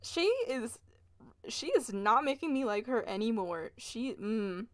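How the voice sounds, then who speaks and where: A young woman with a fairly high voice chats as a game streamer. She rambles casually, exclaims and swears in a giggly way. The sound is dry and close. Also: expressive, a little slow, dry recording. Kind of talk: casual livestream commentary over a game.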